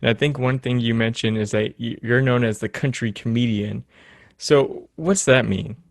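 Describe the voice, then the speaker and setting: A man hosting a podcast interview, asking relaxed questions and chiming in with friendly affirmations. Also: mid-range voice, moderate pace, creaky, no room sound, clean studio sound. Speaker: podcast host. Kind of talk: casual interview chat.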